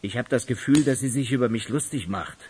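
An actor playing a nervous, reluctant, whiny junior accomplice in a radio crime drama. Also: male voice, mid-range voice, brisk, darkish tone, breathy edge, natural voice. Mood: reluctant, irritated.

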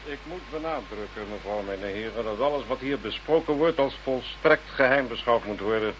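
A man plays a solemn minister in a radio drama. His mid-pitched voice is measured and official, with faint noise on the recording. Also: fairly bright tone, close to an everyday voice, poor audio. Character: formal, solemn government minister. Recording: faint hum, old radio play.

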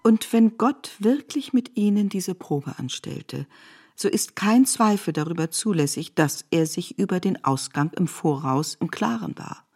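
Lowish-voiced woman narrating literature. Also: lively intonation, a little slow, darkish tone, breathy edge. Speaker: narrator of a literary radio adaptation.

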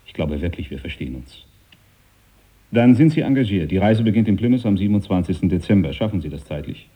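An actor playing a formal, earnest, devout aristocratic sea captain in a radio play. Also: male voice, deep voice, lively intonation, fast, dark tone, slightly rough.

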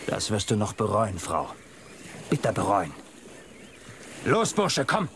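Domineering fisherman, radio drama, hot-tempered, rough talk, very lively intonation, noisy waves behind. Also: on the low side, slow pace, full character voice. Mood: furious, threatening. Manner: bossy, barking orders in rough colloquial speech. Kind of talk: children's radio play acting.

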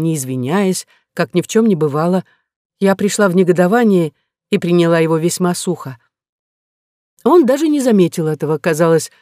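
A woman's voice: deep voice, warm tone, no echo, studio quality. A woman reading a classic novel aloud as a scripted first-person narration. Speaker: audiobook narrator.

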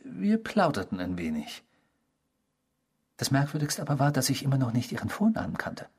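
Casting: creepy obsessive confessor for radio drama, husky mid-range voice, theatrical, savouring, unrepentant. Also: male voice, medium pitch, wildly swooping pitch, moderate pace, a little mellow, heavily acted. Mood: wistful, savouring. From the Netherlands (character). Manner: theatrical, savouring, unrepentant, mimicking the people quoted. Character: eerie, obsessive male stranger confessing a past crime. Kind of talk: radio drama dialogue, first-person storytelling.

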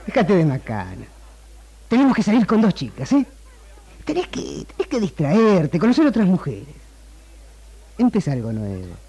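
An actor playing a bossy film director and loyal friend in a 1960s Argentine radio drama. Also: male voice, high voice, huge pitch swings, normal speed, long pauses, dark tone, breathy edge, exaggerated voice. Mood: concerned, coaxing. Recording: 1960s radio archive, music behind.

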